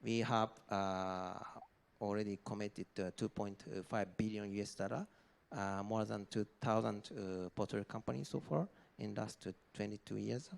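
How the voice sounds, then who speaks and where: Halting gravelly Japanese-native man. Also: lowish voice, steady tone. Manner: halting, many uh fillers and restarts. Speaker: panelist. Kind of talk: conference panel talk.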